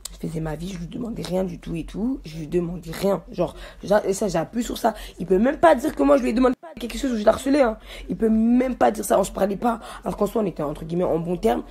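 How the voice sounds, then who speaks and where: Defiant young woman, creaky voice. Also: mid-range voice, fast, warm tone. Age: young adult. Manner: defiant, emphatic, repeats herself for emphasis. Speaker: social media personality telling her side of a story. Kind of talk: casual, slangy personal rant to followers.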